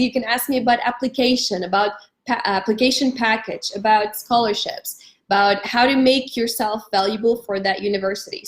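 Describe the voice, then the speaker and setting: A young woman from Ukraine hosting a live stream, giving friendly advice with many pauses, fillers and restarts. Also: fairly high pitch, reverberant. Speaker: host of a live stream on studying abroad.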